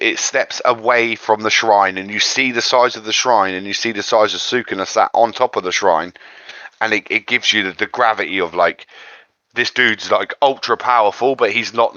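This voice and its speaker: A man's voice: on the low side, steady tone, moderate pace, sharp tone, no echo. A man chatting casually as co-host of a geek culture discussion show, on a lo-fi recording. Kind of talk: casual chat. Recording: dull lo-fi voice recording.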